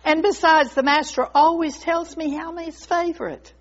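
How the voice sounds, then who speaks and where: A woman's voice: mid-range voice, expressive, normal speed, breathy edge, long reverb tail. A woman voicing a proud, devoted bamboo tree in a storybook tale, speaking in an earnest, dramatic way. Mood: proud, satisfied. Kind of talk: storybook character voice. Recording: dull lo-fi recording.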